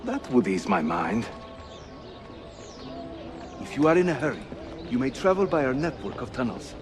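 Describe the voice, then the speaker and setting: A man's voice: medium pitch, very animated, moderate pace, lightly acted. An actor playing a genial Ottoman assassin lieutenant in a video game, easygoing and dryly humorous. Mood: easygoing, teasing. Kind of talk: cinematic video game acting. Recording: music behind the voice.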